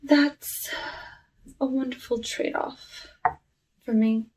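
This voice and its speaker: Slow, hushed witch of the woods, played by a woman. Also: sits high, steady tone, heavily acted. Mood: wistful. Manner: slow, hushed, wistful, halting. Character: softly eerie, lonely cursed witch of the woods. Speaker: ASMR roleplay performer. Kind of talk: ASMR roleplay, soft talking. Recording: clearly noisy with clicks.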